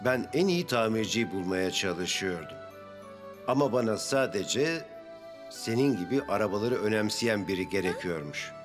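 A man's voice: fairly low pitch, expressive, normal speed, natural voice. A man voicing a firm but kindly garage chief in a children's cartoon dub, with music behind. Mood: apologetic, warm.

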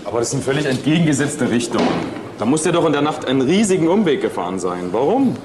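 An actor playing a sceptical, matter-of-fact junior detective, reporting in a wry way in a crime radio drama. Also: male voice, medium pitch, sing-song, fast talker, background noise, close to an everyday voice.

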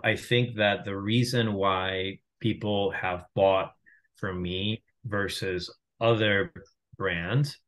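A man's voice: lowish voice, a bit bright. A man in his thirties from Venezuela, a company founder talking on a video call in a casual, reflective way with frequent restarts. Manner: reflective, many restarts and fillers. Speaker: founder and guest. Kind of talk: casual interview talk. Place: home room via video call. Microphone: video call webcam mic.

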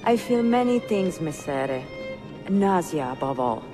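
A woman's voice: lowish voice, sing-song, measured pace, music in the background. A woman playing an educated, poised young Italian bookseller in a video game, articulate, with an Italian accent and a reverberant sound. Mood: dry, exasperated. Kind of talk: game cutscene acting.

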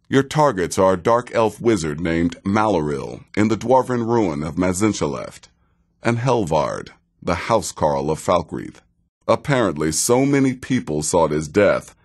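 A sardonic veteran assassin from a fantasy game, with a very low voice. He takes his time over each phrase, and his dry sarcasm rides on wide, expressive swings of pitch. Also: full character voice. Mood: wry, sardonic. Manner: dry, sarcastic, measured, drawn-out delivery. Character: wry, sardonic veteran male assassin handing out contracts. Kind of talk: fantasy video game character dialogue.

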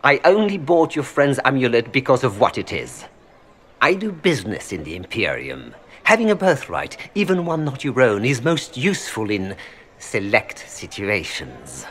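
An actor playing a suave, calculating merchant in a video game, speaking formally with a French accent. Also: male voice, medium pitch, huge pitch swings, moderate pace, a bit bright. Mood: smooth bargaining. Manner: courtly, formal, smoothly unapologetic. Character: suave, calculating Orlesian merchant seeking noble influence. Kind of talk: video game dialogue acting.

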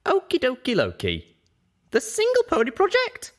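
A man's voice: very high-pitched, huge pitch swings, moderate pace, voice pushed up, heavily acted. A man voicing a young female pony adventurer, anxious and curious, in a story reading.

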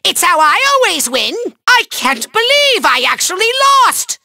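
A man voicing a small, megalomaniacal evil overlord in a video game, shrill and gravelly, bombastic, mocking and childishly petulant. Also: big pitch swings, unhurried, bright, forceful tone, no echo, heavily acted. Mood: outraged, petulant. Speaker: voice actor. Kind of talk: theatrical cartoon villain game dialogue.

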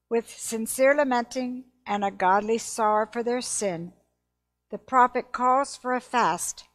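An older woman reading prayer and scripture aloud in a solemn, measured and formal manner. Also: fairly high pitch. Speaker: scripture reader. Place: indoors at a church lectern.

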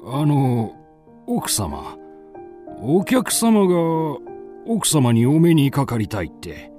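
An actor playing a timid, flustered servant in a radio drama, hesitant and deferential. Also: male voice, medium pitch, sing-song, takes it slowly, mellow, soft background music.

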